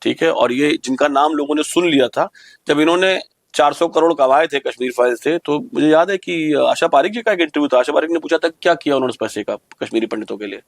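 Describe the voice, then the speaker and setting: A man's voice: on the high side, quick pace, creaky, no echo. A man with an Indian accent hosting an opinionated podcast discussion, speaking emphatically with rhetorical questions and slipping into English. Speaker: podcast host.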